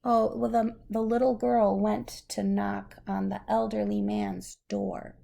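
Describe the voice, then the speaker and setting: A woman's voice: mid-range voice, little pitch movement, unhurried, gravelly, mellow. A woman reading a story aloud to a young child, patiently explaining words in simple terms. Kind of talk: slow read-aloud storytelling with questions to a child.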